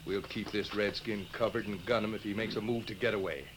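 A man plays a hard western outlaw boss with a bright, forceful edge to his voice. He barks curt orders and threats in 1950s radio-drama style, on a faintly noisy old recording. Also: on the low side, fairly even intonation, fairly quick, lightly acted. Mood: commanding threat. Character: brutal, bossy male western outlaw gang leader.

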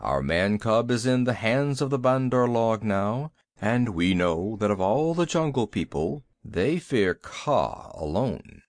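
A quick-witted, commanding panther from a storybook, read by a man. He speaks in courteous, formal, old-fashioned phrases with an animated pitch and a dry, close sound. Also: dry recording, exaggerated voice. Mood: flattering, earnest.

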